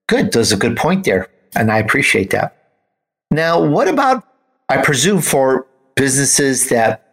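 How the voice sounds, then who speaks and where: A man's voice: medium pitch, fairly bright tone. A man with an American accent, speaking conversationally as the host and interviewer of a show. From United States, grew up in Chicago.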